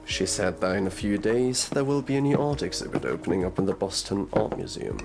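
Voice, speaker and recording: A man playing a gruff, sardonic detective in an audio roleplay drama, drawling and murmuring through his pauses. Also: on the low side, animated. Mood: musing. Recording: music behind the voice.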